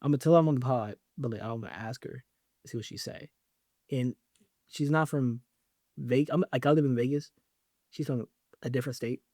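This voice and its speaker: A young man riffs through his podcast in a loose, joking way, full of slang and fillers. His voice sits at an ordinary middle pitch. The mic is close and the room sounds dry, with no echo at all. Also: a little fast, pauses often, a little mellow. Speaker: podcast host. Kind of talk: casual solo podcast talk.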